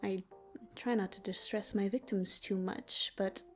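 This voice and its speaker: A woman voicing a teasing yet tender female demon in an intimate roleplay ASMR style, soft and breathy, often near a whisper. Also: medium pitch, steady tone, unhurried, close to an everyday voice. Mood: gentle, soothing. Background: music.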